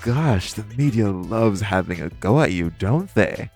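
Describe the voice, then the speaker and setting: Man acting as flirty male supervillain thief, deep voice, coy teasing delivery, intimate audio roleplay, faint music behind. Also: expressive, a little mellow. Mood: mock-admiring, teasing. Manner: teasing, coy, theatrical, drawn-out phrasing.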